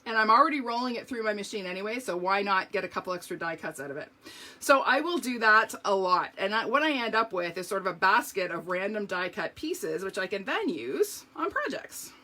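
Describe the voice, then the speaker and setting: A woman showing card-making step by step in a live video, chatty and talking to her viewers, her voice slightly hoarse. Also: mid-range voice, very animated, brisk, pushed and bright. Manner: chatty, explains each step, talks to viewers. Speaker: craft demonstrator.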